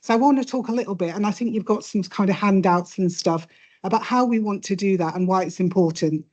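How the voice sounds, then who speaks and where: A woman's voice: medium pitch, a little fast, darkish tone, highs cut off. A woman addressing an audience in an event room without a microphone, earnest and emphatic. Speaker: project lead speaker.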